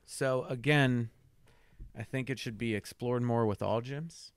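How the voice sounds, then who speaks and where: A man's voice: mid-range voice, a little slow, clean tone, no echo. A man co-hosting a podcast in casual chat, swearing casually and teasing, recorded on a close mic. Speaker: podcast co-host.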